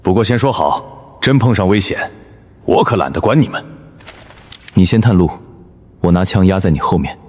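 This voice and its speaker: A man playing a coldly observant young leader in an animated survival drama, speaking in a measured, curt, analytical way. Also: low-pitched, breathy edge. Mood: curt, detached. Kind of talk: animated web drama acting.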